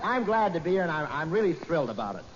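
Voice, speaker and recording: A man with a somewhat high voice fires off wisecracking, self-mocking quips in 1940s radio comedy banter. The sound is muffled and lo-fi, with clear hiss running under it, like an old broadcast recorded live on stage. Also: sing-song, quick pace. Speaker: comedy show host. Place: live stage before a naval audience.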